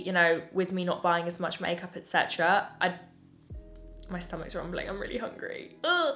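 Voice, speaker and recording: A woman's voice: fairly low pitch, a bit bright. A young English woman chatting through makeup reviews at home, gushing and chatty, her voice a little strained. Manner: gushing, chatty, self-interrupting. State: voice slightly going. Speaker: beauty vlogger. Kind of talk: casual chatty product review.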